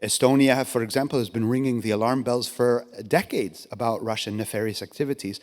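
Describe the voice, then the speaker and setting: A man's voice: medium pitch, fairly quick, a little mellow. A man in the audience asking the panel a question, conversational and correcting himself mid-sentence. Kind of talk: question from the floor. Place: large conference hall. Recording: long hall reverb.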